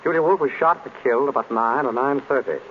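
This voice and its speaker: Guy playing a sharp dry-witted detective in an old radio show, brisk questions, muffled hissy sound. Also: mid-range voice, close to an everyday voice. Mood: brisk, wry. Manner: brisk, probing, wry. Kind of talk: 1930s radio acting. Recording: dull, noisy old radio recording.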